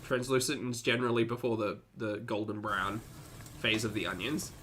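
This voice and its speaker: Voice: medium pitch, steady tone, normal speed, noisy background. A person giving casual reaction commentary as a video host, with an exasperated, sarcastic way of critiquing what they watch.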